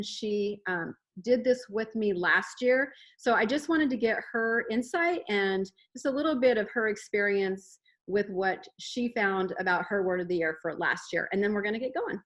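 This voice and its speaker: A woman's voice: mid-range voice, normal speed, a bit bright. An upbeat woman fitness coach hosts an online workshop. She talks in a chatty, encouraging way with plenty of fillers, over a video call. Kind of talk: casual workshop talk.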